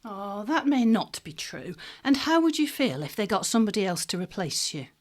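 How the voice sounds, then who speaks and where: A woman's voice: medium pitch, big pitch swings, normal speed, a little mellow. A woman playing a wry, teasing shop worker in an audio drama, practical and mischievous, with a dry manner. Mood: coaxing.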